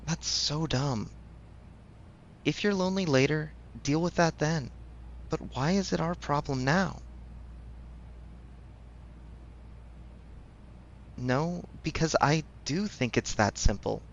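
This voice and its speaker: A man's voice: fairly high pitch, lively intonation, a little slow, creaky, highs cut off, slight background noise. A man playing a supportive best friend in a casual audio roleplay, speaking frankly with affectionate teasing. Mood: blunt, reassuring. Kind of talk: ASMR audio roleplay, conversational.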